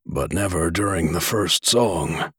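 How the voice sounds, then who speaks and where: A fond small-town pastor, played by the male narrator speaking slowly in a husky low voice. His pitch rises and falls with warmth. The recording is close and dry. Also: dry recording, heavily acted. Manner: slow, fond and easygoing. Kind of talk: audiobook character voice.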